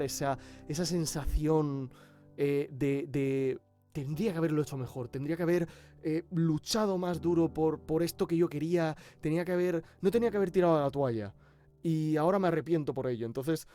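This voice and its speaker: A man from Spain with a Castilian accent talking reflectively in an interview, pausing to search for words. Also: on the high side, mellow. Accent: Spanish (Spain). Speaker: amateur voice actor.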